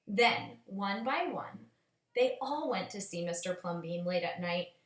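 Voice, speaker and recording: A woman reading a children's storybook aloud as a storyteller, with expressive, measured phrasing. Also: mid-range voice. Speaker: storyteller, teacher. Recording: echoey room.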